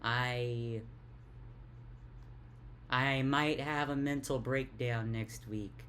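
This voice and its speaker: A young woman with a deep voice talks in a tired, halting way, stuttering and repeating words in a casual confessional vlog. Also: fairly even intonation, fairly bright tone, slight background noise. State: tired, worn out. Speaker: vlogger.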